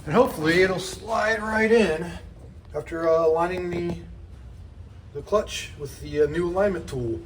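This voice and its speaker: A man working in a metal shop, explaining each repair step aloud in a casual, rambling way with lots of fillers and speaking up loudly. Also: high-pitched, moderate pace. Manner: speaks up loudly over the rain. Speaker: mechanic and channel host. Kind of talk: casual garage how-to narration. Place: metal shop building during rain. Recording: loud rain drumming on a metal roof.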